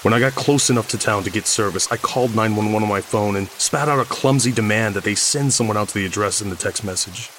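Man acting a shaken, low-voiced horror survivor. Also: fast, few pauses, a bit bright, slight background noise, lightly acted, rough recording. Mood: shaken, haunted. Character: shaken, guilt-ridden male survivor recounting a nightmare. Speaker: audio fiction narrator. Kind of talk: dramatic first-person horror narration.

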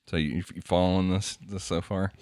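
A man's voice: low-pitched, fairly even intonation, a little fast, darkish tone, no room sound, studio quality. A man co-hosting a casual podcast, explaining a topic from notes and laughing often. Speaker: podcast co-host. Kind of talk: casual podcast chat, reading quotes aloud.

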